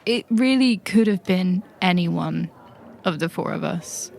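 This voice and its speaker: A woman's voice: fairly low pitch, unhurried, vocal fry, a little mellow. A woman playing a frank, wry agent in improvised tabletop roleplay, dry and matter-of-fact. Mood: gently consoling.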